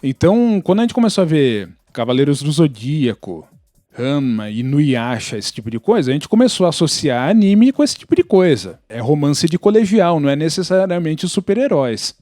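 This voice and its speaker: A man's voice: a little high, sing-song, moderate pace, warm tone, no room sound, clean studio sound. A man with a Brazilian Portuguese accent explaining his opinions in a casual podcast discussion. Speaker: podcast participant.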